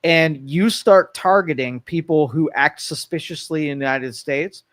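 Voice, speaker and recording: Animated male talk show co-host. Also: on the high side, normal speed. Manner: animated, acts out quoted voices. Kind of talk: casual political talk.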